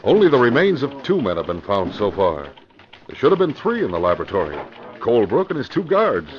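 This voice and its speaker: An actor playing a grave, guarded middle-aged police commissioner in an old-time radio crime drama, speaking with hesitant weight. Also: male voice, medium pitch, wildly swooping pitch, fairly quick, a little hiss or hum. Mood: urgent, serious. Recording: muffled old radio recording.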